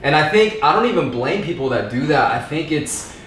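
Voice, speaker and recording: A man in his thirties from Southern California, a podcast guest talking casually in a relaxed, rambling way with plenty of fillers and slang. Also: a little high, brisk, slight background noise. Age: 30s. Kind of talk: casual conversation. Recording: echoey room.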